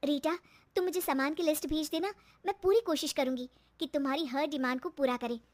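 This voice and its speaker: A woman's voice: high-pitched, brisk, pitched above the performer's own voice, close to an everyday voice. A woman voicing a humble, determined young mother who talks in an earnest, pleading way. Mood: earnest, conciliatory.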